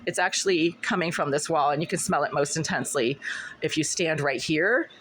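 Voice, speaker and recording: A middle-aged woman with a scratchy, husky voice, talking insistently and repetitively to a phone camera in her apartment. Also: low voice, fairly quick, fairly bright tone. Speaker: vlogger. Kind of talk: monologue to camera. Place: apartment room.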